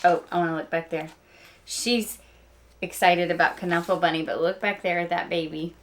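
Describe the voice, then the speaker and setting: A woman teacher reading a picture book aloud to children, with animated emphasis and chatty explaining asides. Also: fairly low pitch, moderate pace, a little hiss or hum.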